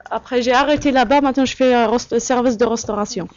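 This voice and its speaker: A young woman from Kabylie in Algeria, answering interview questions in a halting, plain-spoken way with frequent fillers. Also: fairly high pitch, darkish tone. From Algeria (Kabylie, Tizi Ouzou). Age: young adult. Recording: muffled lo-fi recording.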